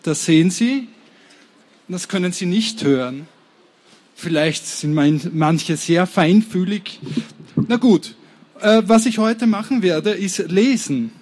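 A man's voice: sits high, takes it slowly, long pauses, darkish tone. A man with an Austrian accent chatting in a rambling, informal way as an author introducing a reading. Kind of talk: casual introduction to a live reading.

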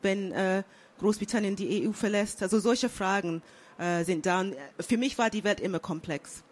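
A woman's voice: mid-range voice, moderate pace. London-born woman, hesitant panel guest. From England. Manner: thoughtful, many fillers and restarts. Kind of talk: panel discussion.

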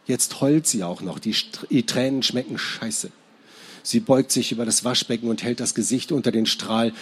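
Husky, lower-pitched man reading prose. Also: normal speed. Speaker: author reading from a novel at a public reading.

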